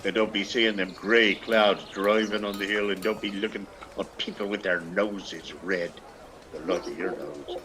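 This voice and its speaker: A man's voice: low voice, fairly even intonation, moderate pace, sharp tone, slight background noise. An actor playing a ragged, lazy older peasant man who grumbles and needles in a lilting, theatrical radio play style. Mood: sly grumbling.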